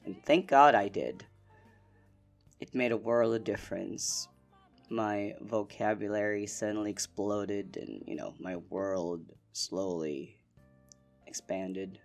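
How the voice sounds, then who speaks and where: A young man from the Philippines hosting a casual solo podcast, relaxed and rambling with lots of fillers. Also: on the low side, slow, creaky, soft background music. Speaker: podcast host.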